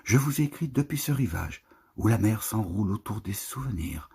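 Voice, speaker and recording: Man, very husky and breathy, lowish pitch, solemn lyrical poetry recitation, lingering phrasing. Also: expressive, moderate pace, a little mellow. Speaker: poetry reader. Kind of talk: literary poetry recitation, scripted narration.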